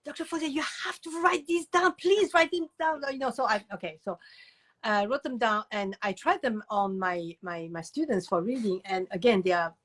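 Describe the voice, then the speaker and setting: An older woman speaks as an interview guest at a middle pitch. She tells stories in an animated way, wandering into asides and repeating words for emphasis. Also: moderate pace. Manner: animated storyteller, digressive, emphatic repetition. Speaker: guest author and educator. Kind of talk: conversational interview answers.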